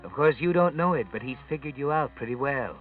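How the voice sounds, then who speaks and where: An actor playing an ominous, all-knowing male narrator who taunts a murderer with deliberate insinuation, in 1940s radio drama style. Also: medium pitch, lively intonation, lightly acted. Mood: knowing, taunting. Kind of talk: 1940s radio acting, second-person suspense narration. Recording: tinny vintage radio recording, music behind. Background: dramatic music.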